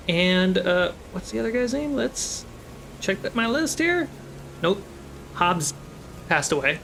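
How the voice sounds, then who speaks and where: A man's voice: fairly high pitch, fairly bright tone, slight background noise. A man narrating a tabletop role-playing game as its game master.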